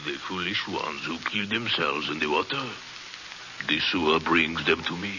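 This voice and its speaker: An actor playing a gleefully macabre, crazed old hermit with a gravelly voice and a French accent, in theatrical old radio horror style. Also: male voice, extremely low pitch, moderate pace, bright, forceful tone, full character voice. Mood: eerie coaxing. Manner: theatrical, wheedling, erratic swings into shrieks. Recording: hissy, dull old radio recording.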